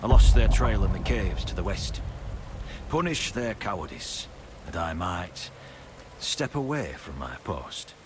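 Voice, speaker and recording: An actor playing a haughty, sardonic guard commander in a video game, deliberate and menacing. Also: male voice, low voice, sing-song. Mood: grudging, sly. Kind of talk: video game character acting. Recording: clearly noisy, rainy ambience.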